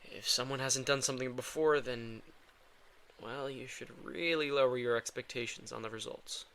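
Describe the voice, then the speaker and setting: A man's voice: medium pitch, fairly even intonation, moderate pace, gravelly, bright, forceful tone. A man playing a guilt-ridden, protective male vampire in an intimate audio drama, with dry sarcasm and a weary, casual delivery. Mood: exasperated, sardonic.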